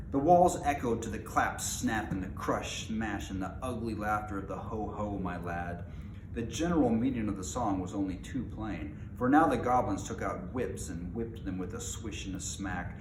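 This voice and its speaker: Man, lowish voice, amateur storybook reader, informal delivery, echoey room, noticeable background noise. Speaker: amateur storyteller reading a book aloud. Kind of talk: storybook read-aloud with casual asides. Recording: roomy echo, clearly noisy background.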